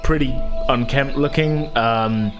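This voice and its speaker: A man's voice: mid-range voice, slow, music in the background. A man running a tabletop game, describing scenes in a casual, informal and hesitant way. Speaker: game master.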